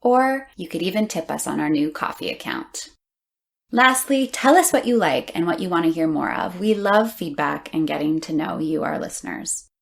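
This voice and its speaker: Female podcast host, slightly low voice, reading upbeat housekeeping notes to her audience. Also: animated, moderate pace, gravelly. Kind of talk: upbeat scripted podcast announcement.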